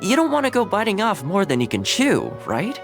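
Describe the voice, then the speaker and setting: A man's voice: sits high, wildly swooping pitch, fairly quick, creaky, faint music behind, heavily acted. An actor playing a friendly, helpful young man in a video game, upbeat and conversational. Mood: coaxing.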